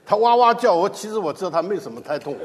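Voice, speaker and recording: A man preaching a sermon with a loud voice, speaking in an animated, emphatic way. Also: high-pitched, very fast, a little hiss or hum. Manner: animated, emphatic, laughs at times. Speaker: pastor. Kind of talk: sermon in Mandarin with live interpretation. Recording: echoey hall.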